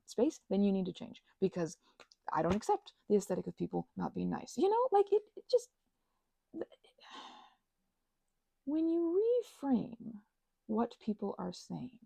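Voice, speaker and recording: Woman, thirties, ASMR rambler. Mellow, breathy delivery, playful and emphatic, recorded very dry. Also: on the high side, dry recording. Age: 30s. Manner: emphatic, playful slangy rambling with quoted asides. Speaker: ASMR channel host. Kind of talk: casual rambling ASMR monologue.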